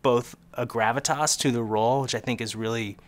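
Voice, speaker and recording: A man's voice: medium pitch, steady tone, fairly quick. A man in his thirties giving an earnest press interview, explaining steadily with frequent fillers. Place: film set interview, indoors.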